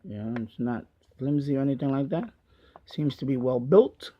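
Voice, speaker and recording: A man giving a casual hands-on product review in a halting way, repeating himself as he goes. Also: mid-range voice, expressive, warm tone, slightly rough. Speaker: gadget reviewer and radio hobbyist. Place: basement of a house. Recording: dull lo-fi home video sound.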